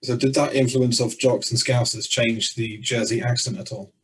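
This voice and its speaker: A man of middling pitch hosts a casual podcast and asks easygoing, conversational questions. He sounds set back from the microphone. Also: steady tone, normal speed. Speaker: podcast host. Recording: voice distant from the mic.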